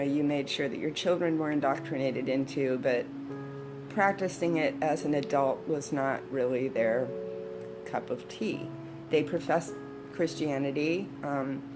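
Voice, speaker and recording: A woman in her sixties speaks in a distinctly low register. She reminisces casually and takes her time, in a conversational storyteller's manner. Guitar music plays clearly behind her voice. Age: 60s. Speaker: storyteller, video host. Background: instrumental guitar music.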